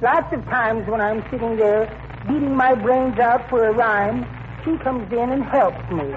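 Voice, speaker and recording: A man's voice: extremely high pitch, measured pace. A man playing a meek, timid, henpecked husband with mild, prissy politeness in a 1940s radio comedy. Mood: mild, long-suffering. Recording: tinny, noisy old radio recording.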